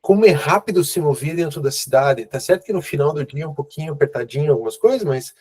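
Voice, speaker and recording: A Brazilian man with a Brazilian Portuguese accent interviewing a guest in a relaxed chat, agreeing often and restarting his sentences. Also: on the high side, fast, breathy edge. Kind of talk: conversational interview.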